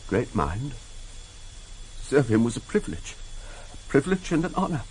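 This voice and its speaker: An older man playing a courteous, grieving, devoted secretary in a radio drama, speaking haltingly. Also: mid-range voice, very animated, a little mellow, natural voice, poor audio. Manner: genteel, halting, repeating himself. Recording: hissy vintage radio drama tape.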